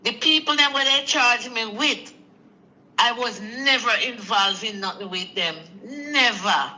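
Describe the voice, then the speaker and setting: A man's voice: very high-pitched, unhurried, bright, forceful tone, poor audio. A man in his late sixties with a Jamaican accent, calling in and chatting easily in Patois-flavoured speech. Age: 60s. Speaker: caller. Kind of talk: casual call-in chat.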